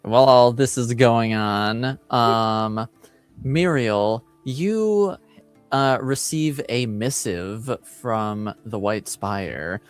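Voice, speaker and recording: A man narrating a tabletop roleplaying game as game master, unscripted, with a halting, hesitant manner. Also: medium pitch, clean tone, faint music behind.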